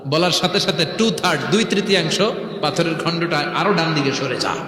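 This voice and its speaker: Male preacher, bright and forceful, dramatic storyteller who repeats phrases for effect; big echoing hall sound. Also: fairly high pitch, brisk. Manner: dramatic, repeating phrases, calling on listeners to respond. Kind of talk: animated storytelling sermon, acting out prayers and dialogue. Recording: echoey hall with long reverb.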